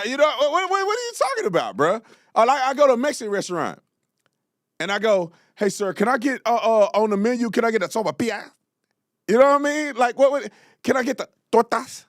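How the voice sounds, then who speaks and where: Animated mocking male commentary host. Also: high-pitched, fairly quick, no echo, studio quality. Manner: mocking, exclaims loudly, slangy.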